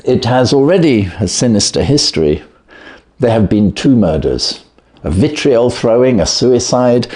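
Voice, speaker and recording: A man reading the part of a brisk, clever, self-assured Victorian detective in an audiobook, with precise, commanding, theatrical delivery. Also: mid-range voice, a little mellow, close to an everyday voice.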